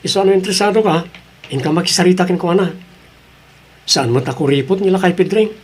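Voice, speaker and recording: A man's voice: high-pitched, quick pace, breathy edge. A man playing a helpful, easygoing friend who gives advice in an Ilocano radio drama, with an Ilocano Filipino accent. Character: helpful male friend of the husband, easygoing adviser.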